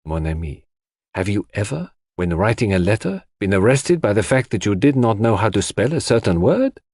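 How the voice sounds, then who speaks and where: Man voicing a shrewd, courteous detective, lively pitch. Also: moderate pace, no room sound, studio quality. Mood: confident, explanatory. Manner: formal, precise, explanatory. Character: shrewd, courteous male foreign detective, fond of theories. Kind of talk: audiobook character dialogue.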